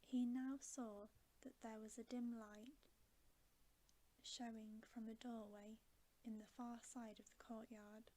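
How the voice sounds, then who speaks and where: A woman reading a bedtime story as ASMR in a breathy whisper. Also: on the high side, flat delivery, slow pace, dark tone, low-quality recording.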